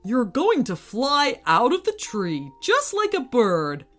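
A woman voicing a friendly magical talking basketball who speaks in a coaxing, gentle way. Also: on the high side, huge pitch swings, slow, exaggerated voice.

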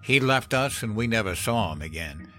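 A man voicing a gruff, cynical old country man with a folksy drawl, telling of hard times in a horror story. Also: lowish voice, lively intonation, normal speed, a bit bright, voice pushed up. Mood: grim, dismissive. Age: older. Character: cynical old country man recounting grim Depression-era hardship. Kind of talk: dramatized horror story narration.

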